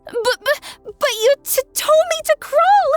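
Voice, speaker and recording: Shrill, panicked alien recruit. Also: female voice, animated, unhurried, dry recording, faint music behind. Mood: panicked protest. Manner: breathless, stammering. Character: frightened young female alien recruit, wailing and pleading. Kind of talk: audiobook character acting.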